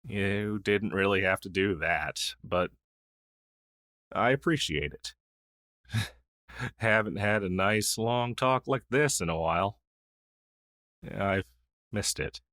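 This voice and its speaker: A man's voice: on the low side, takes it slowly, long pauses, a bit bright, no echo, clean studio sound. A man playing a friendly, shy crow familiar in an intimate fantasy audio roleplay, speaking in a hesitant, earnest, conversational way. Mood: shy, wistful. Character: friendly, shy crow familiar, a masterless male magical helper. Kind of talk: intimate ASMR roleplay acting.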